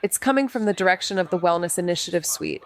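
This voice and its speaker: An actress playing a reckless amateur sleuth who narrates her own noir story in a wry, deadpan, atmospheric way. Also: fairly low pitch, quick pace. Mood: tense, hushed curiosity. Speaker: actor narrating a podcast drama. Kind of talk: first-person noir narration and dialogue.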